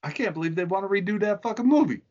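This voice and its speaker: Brief: bantering man in his thirties, slightly high, lively voice, somewhat muffled home sound. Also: rapid-fire. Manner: loose, laughing, swearing banter. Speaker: podcast co-host. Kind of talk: casual profane chat. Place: home room, remote video call.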